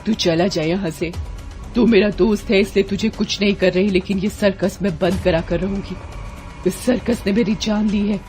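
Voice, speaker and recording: A woman voicing the vengeful ghost witch of a dead circus gymnast, making menacing, drawn-out threats in a children's horror story. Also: medium pitch, fairly even intonation, a little mellow, faint music behind, natural voice. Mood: bitter, resolute.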